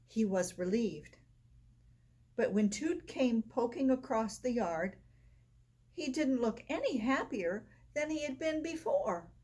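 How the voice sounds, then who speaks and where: A woman reads a children's story aloud in a slightly high, husky voice. Her delivery is expressive and swings up and down in pitch. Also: slow. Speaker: storybook reader. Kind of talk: expressive read-aloud of a children's picture book.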